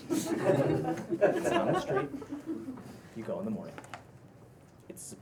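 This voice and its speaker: A man acts out a playfully sassy male roommate in a comedy-drama table read. He teases but is supportive, with a very animated, conversational delivery. There is faint noise in the room. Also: fairly high pitch, slow, vocal fry, crowd noise, exaggerated voice. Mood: encouraging. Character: Max, exasperated, teasing, supportive male roommate and friend. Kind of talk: casual cold read of comedy-drama script.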